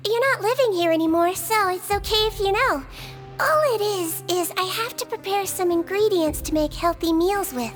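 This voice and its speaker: A tiny, caring nonhuman head nurse from a video game, speaking in a very high, soft and earnest voice. She explains things plainly and kindly, with game music playing clearly behind her. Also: normal speed. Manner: soft, earnest and matter-of-fact. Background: game soundtrack music.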